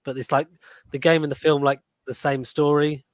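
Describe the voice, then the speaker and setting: A man's voice: on the high side, level tone, moderate pace. A man talking casually as a podcast panelist. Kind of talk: casual chat.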